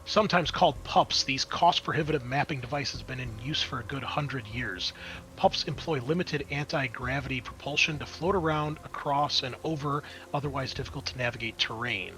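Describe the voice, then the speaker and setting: A man's voice: medium pitch, normal speed, sharp tone, low-quality recording. A man acting as game master, narrating a tabletop roleplaying game in a casual, explanatory, conversational way. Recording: clear background noise.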